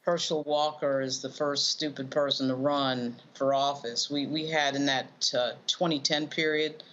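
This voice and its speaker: A woman's voice: extremely low pitch, fairly even intonation, a little slow, a bit bright. Woman political commentator on dull audio. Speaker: political commentator on a news panel. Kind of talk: off-the-cuff political analysis.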